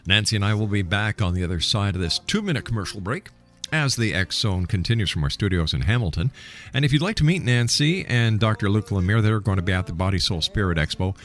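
A man hosting a radio show, interviewing and announcing to listeners. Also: on the low side.

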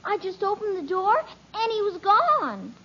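A woman's voice: very high-pitched, big pitch swings, measured pace, sharp tone, full character voice. An actress playing a young girl named Kathy in a 1950s radio sitcom, pleading and whiny. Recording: dull old radio recording, faint hiss.

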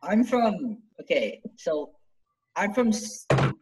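A man's voice: high voice, sing-song, slow pace, noisy background. A young man from Canada chatting on a casual podcast, rambling and defensive with lots of fillers. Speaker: podcast co-host.

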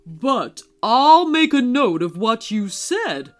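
Brief: a woman doing a hearty old Santa, booming and lively, for a storybook. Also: takes it slowly, faint music behind. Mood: jolly. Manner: booming ho-ho laughter, hearty and loud. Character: jolly old male Santa, cheerful and kind.

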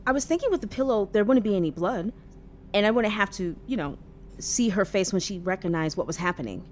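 An actress playing a naive, earnest and oddly frank young person in an audio drama, guileless and matter-of-fact. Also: female voice, mid-range voice, expressive, a little fast, darkish tone, a little hiss or hum, close to an everyday voice. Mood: puzzled, insistent.

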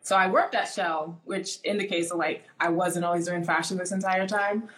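A woman's voice: on the low side, fairly even intonation, normal speed, a bit bright, roomy sound, poor audio. A woman in her twenties, born in Nigeria and raised in the US, talking casually as an interview guest, with lots of "like" fillers. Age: 20s. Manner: chatty, many "like" fillers.

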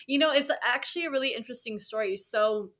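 Bright, bubbly young woman guest. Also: fairly high pitch, quick pace. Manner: bubbly, laughs often. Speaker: podcast guest. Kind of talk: casual interview.

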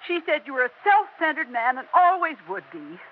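An actress playing an anxious older wife and mother in a 1960s radio drama, slow and pleading. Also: high voice, big pitch swings, a little hiss or hum. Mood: bitter. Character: anxious elderly widow Agatha, bitter yet pleading. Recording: dull vintage radio recording.

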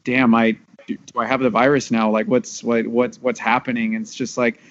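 A man's voice: medium pitch, little pitch movement, normal speed, dull audio, slight background noise. A man from Texas with an American accent, a voice actor talking candidly and loosely in a casual panel discussion, often repeating words. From Texas, USA. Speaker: voice actor discussing an audio drama.

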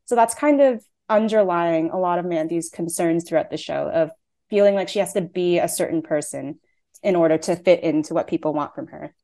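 A woman's voice: on the low side, brisk, mellow. A young woman in her twenties from Canada, a playwright and actor, chatting through interview answers with frequent "um" and "like" fillers.

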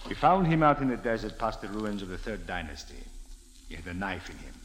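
An actor playing a calm, suspicious Cairo police officer with a measured, formal manner in a 1940s radio drama. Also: male voice, on the low side, lightly acted. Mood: grave, subdued. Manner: measured, formal, uncontracted speech. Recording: noisy vintage radio recording.

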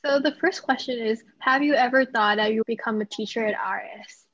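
Husky young woman, dull dry audio. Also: a little high, brisk, a bit bright. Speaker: student interviewer. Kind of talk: scripted interview questions. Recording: dry, muffled lo-fi.

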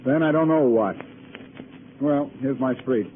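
A man's voice: medium pitch, unhurried, close to an everyday voice. A man acting a brash, determined amateur sleuth in 1940s radio style, wisecracking and pressing with his questions. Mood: grim, determined. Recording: noisy, narrow-band old radio recording.

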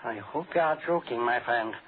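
An actor playing a suave, sinister doctor in a 1940s radio drama, speaking with formal, menacing courtesy. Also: male voice, medium pitch, normal speed, natural voice. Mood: coolly wary. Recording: tinny, noisy old 1940s radio recording.

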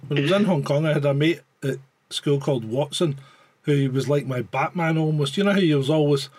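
A man's voice: a little high, a little fast, a little mellow, dry recording. A Scottish man co-hosting a live stream in casual banter, rambling and sweary with dry humour. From Scotland.

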